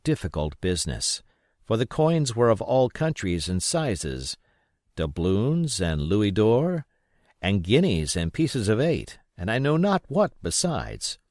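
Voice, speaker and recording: A man reading an adventure novel aloud as expressive scripted narration. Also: fairly low pitch, dry recording, studio quality. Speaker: audiobook reader.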